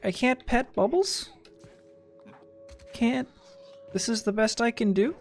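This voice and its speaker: A man's voice: extremely high pitch, lively intonation, takes it slowly, vocal fry, faint music behind. A man giving casual, sarcastic commentary while playing a video game, with muttered asides. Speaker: gameplay streamer.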